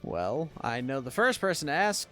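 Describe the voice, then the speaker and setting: A woman's voice: low-pitched, big pitch swings, moderate pace, fairly bright tone. A young adult woman giving casual, jokey live commentary on a video game, full of rambling asides. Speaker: game streamer. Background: video game music.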